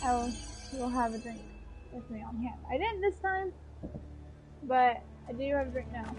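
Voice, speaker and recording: A chatty woman streams a video game from her home kitchen, talking into a headset mic in a high voice. She chats casually and echoes the characters' lines aloud. Game music plays clearly behind her. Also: normal speed, darkish tone. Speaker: gaming streamer.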